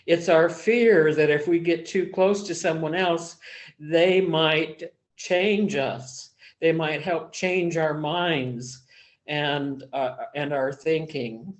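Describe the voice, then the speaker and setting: A man in a casual book discussion group, talking slowly and haltingly with many pauses and fillers. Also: fairly high pitch. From small-town background.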